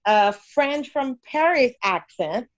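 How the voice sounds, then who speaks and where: A woman from Haiti with a Haitian accent, talking as an interview guest in an animated way with fillers and restarts. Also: sits high, takes it slowly, no room sound, lo-fi sound. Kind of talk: conversational interview.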